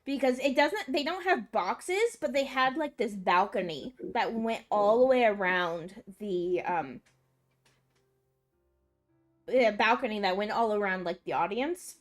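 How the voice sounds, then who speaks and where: A woman's voice: medium pitch, lively intonation, measured pace, faint music behind. A young woman on a livestream, chatting casually and rambling with many fillers. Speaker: streamer. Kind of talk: casual chat.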